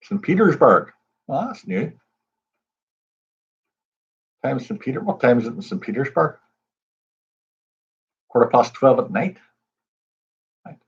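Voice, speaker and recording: Man hosting a quiz stream, husky, chatty, greets viewers by name, dull lo-fi audio. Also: medium pitch, big pitch swings, normal speed, long pauses. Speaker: pub quiz host. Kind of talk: casual livestream chat.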